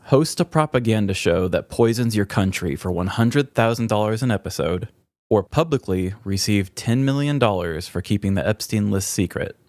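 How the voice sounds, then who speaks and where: A man's voice: lowish voice, expressive, normal speed, darkish tone, dry recording. An American man co-hosting a podcast in casual comedic banter, with a wry, sardonic, casually profane way of talking. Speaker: podcast co-host.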